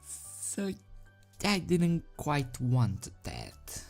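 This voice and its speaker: Casting: unhurried amateur host-reader, hesitant and self-effacing, medium pitch, music underneath. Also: huge pitch swings. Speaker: video host and fan fiction reader. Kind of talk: casual rambling chat, then storybook narration. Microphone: desk microphone without pop filter.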